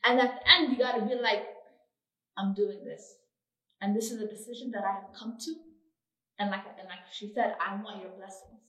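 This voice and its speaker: A woman's voice: mid-range voice, fairly even intonation, normal speed, bright, forceful tone, far from the mic. A young woman whose first language is Somali, chatting casually and animatedly with slang and lots of "like". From Somali family. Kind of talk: casual chat. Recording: echoey room, voice a bit off-mic.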